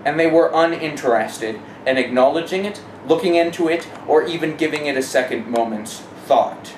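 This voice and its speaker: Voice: mid-range voice, expressive, normal speed, a little mellow. Emphatic speaker in a noisy, echoey room. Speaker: interviewee giving testimony. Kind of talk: personal testimony in an interview. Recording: echoey room, clear background noise.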